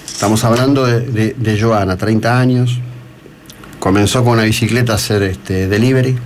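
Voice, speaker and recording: Low-voiced Argentine radio host, noisy line. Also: male voice. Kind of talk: live radio interview and commentary. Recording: noticeable background noise.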